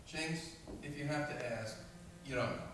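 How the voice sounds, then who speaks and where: An actor playing a decisive, friendly leader of farm animals in a stage radio play. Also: male voice, medium pitch, a little slow, natural voice. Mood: purposeful. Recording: echoey hall from far off, faint noise.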